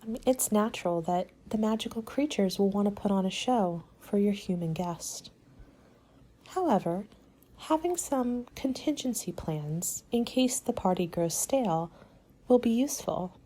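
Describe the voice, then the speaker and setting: A woman playing a courteous, helpful party planner in a soft-spoken ASMR roleplay, with a measured, polite, advisory manner and soft, breathy delivery. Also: medium pitch, animated. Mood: calm, helpful.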